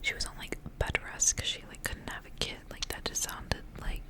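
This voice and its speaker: A woman doing ASMR, reading a story aloud in a soft, breathy whisper. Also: moderate pace.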